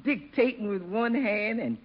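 An actress playing a good-humored, teasing housekeeper in a 1950s radio comedy with a sing-song delivery. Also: female voice, mid-range voice, unhurried, husky. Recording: muffled, hissy vintage radio recording.

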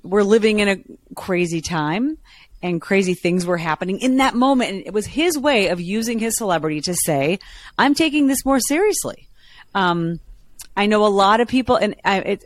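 A woman with a low voice co-hosting a podcast, telling stories in a lively, chatty way. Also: dry recording. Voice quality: lower voice. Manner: chatty, animated storytelling. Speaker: podcast co-host. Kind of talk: casual chat.

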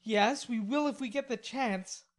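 Casting: female reader for lovelorn young man in storybook narration, impassioned and wheedling, pitched well up. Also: measured pace, close to an everyday voice. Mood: rebellious. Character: lovelorn, hot-headed young man, pleading and rebellious. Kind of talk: audiobook character voice.

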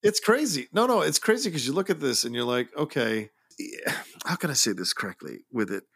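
A man's voice: medium pitch, big pitch swings, brisk, creaky, a bit bright, husky. A man co-hosting a casual film discussion podcast, musing animatedly with lots of fillers. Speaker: podcast co-host.

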